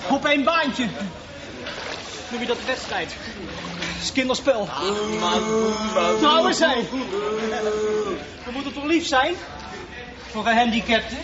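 A man's voice: very high-pitched, expressive, takes it slowly, long pauses, over a noisy crowd, full character voice. A male actor playing a taunting, menacing young bully who leads a gang, mocking and threatening, in a Dutch radio play. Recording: muffled radio recording with clear noise.

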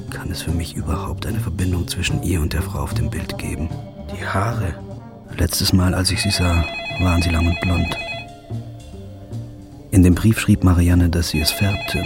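Deep-voiced man, brooding narration. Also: huge pitch swings, moderate pace, pauses often, over loud music. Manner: brooding, hushed. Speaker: narrator and lead actor. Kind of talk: audio drama first-person narration.

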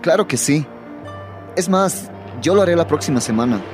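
A man's voice: a little high, lively intonation, normal speed, over music, natural voice. A man with a Bolivian accent acting an insecure, hesitant teenage boy torn between love and bravado in a radio drama. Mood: hesitant, defensive. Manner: hesitant, slangy.

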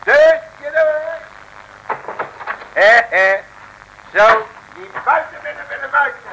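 An actor playing a bossy, jovial detective in a mid-century Dutch radio comedy, exclamatory and colloquial. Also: male voice, very high-pitched, sing-song, takes it slowly, creaky, heavily acted. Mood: gleeful. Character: boisterous, bossy, jovial male comic detective. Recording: hissy 1950s radio recording.

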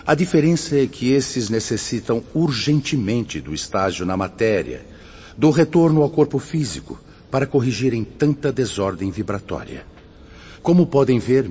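An actor playing a fatherly spirit mentor in a radio drama, speaking measured, didactic Portuguese with a Brazilian accent. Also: lowish voice, a little mellow, a little hiss or hum. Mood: compassionate, admonishing. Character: solemn, fatherly male spirit mentor guiding suicide souls. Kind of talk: scripted radio drama acting. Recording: muffled audio with music underneath.

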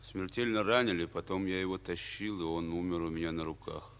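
An actor playing a stoic, exhausted soldier in a Russian radio war drama, answering in terse military phrases. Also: male voice, low voice, steady tone, brisk, clear, resonant tone. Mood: grim, tired. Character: stoic, exhausted army messenger, loyal and terse.

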